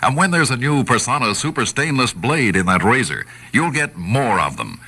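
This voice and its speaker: A man's voice: medium pitch, sing-song, moderate pace, sharp tone, breathy edge. A man reading a 1960s radio commercial as an announcer, with a polished, persuasive sales delivery. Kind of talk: 1960s radio advertising read.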